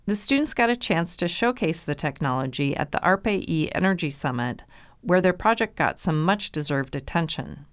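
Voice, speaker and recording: A woman's voice: fairly low pitch, animated, normal speed, dry recording. A woman reading scripted narration as the host of a podcast.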